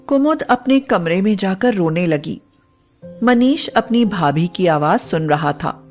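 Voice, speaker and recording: Slow-paced woman narrating a story. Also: mid-range voice, expressive, a little mellow, soft background music. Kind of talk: scripted moral-story narration.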